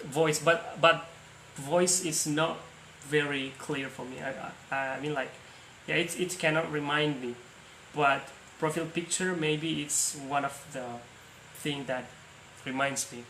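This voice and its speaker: A man in a casual online chat, talking in halting, repeated phrases. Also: fairly high pitch, fairly even intonation, a bit bright. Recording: echoey room, faint noise.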